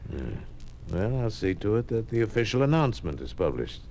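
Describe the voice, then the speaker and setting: An actor playing a sly older lieutenant governor, avuncular and evasive, in 1950s radio drama style. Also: male voice, on the low side, big pitch swings, normal speed, a little mellow. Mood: flustered, yielding. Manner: avuncular, evasive, hesitant hemming. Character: sly, smooth-talking older lieutenant governor, two-faced party official. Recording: noisy old 1950s radio recording.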